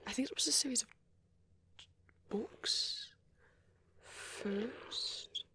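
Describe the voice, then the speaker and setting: A performer voicing a shy, gentle young vampire boyfriend in an ASMR roleplay, with a soft, hesitant, often whispered delivery. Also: mid-range voice, full character voice. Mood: fondly nostalgic. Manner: hesitant, halting, murmured musing.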